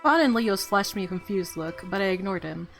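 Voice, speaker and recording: A woman streaming a game, reading its story aloud and reacting with casual, excited commentary as she thinks aloud. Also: mid-range voice, brisk, gravelly, soft background music.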